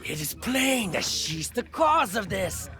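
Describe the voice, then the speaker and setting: A gossipy, indignant village man in a video game. He is melodramatic and accusing, with a voice that swings widely in pitch. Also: big pitch swings, slow, bright, forceful tone, heavily acted. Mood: gossipy, suspicious. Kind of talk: video game cutscene acting. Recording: faint music behind the voice.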